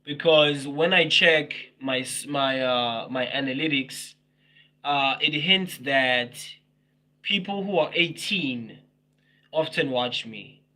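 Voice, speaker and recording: A man's voice: medium pitch, slow, sharp tone. A man in his twenties chatting casually with viewers on a livestream, teasing them, laughing often and repeating himself. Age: 20s. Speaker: livestream host.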